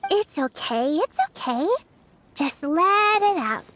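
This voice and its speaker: A woman's voice: high voice, animated, slow pace, fairly bright tone, a little hiss or hum, heavily acted. A woman voicing a sweet, playful and trusting little witch girl in a video game, with a bubbly childlike manner. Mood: caring. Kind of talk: video game character acting. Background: background music.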